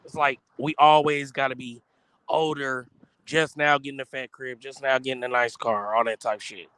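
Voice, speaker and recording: An American man in his thirties talks in loose, slangy conversation. He is animated and theatrical, piling up mock protest as he banters with friends. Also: mid-range voice, moderate pace, clean tone, no echo. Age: 30s. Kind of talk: casual chat with slang and banter.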